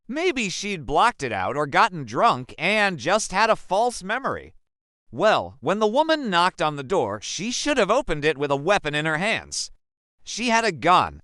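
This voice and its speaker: A man giving scripted, measured narration as a commentator. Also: a little high, a bit bright, dry recording, clean studio sound.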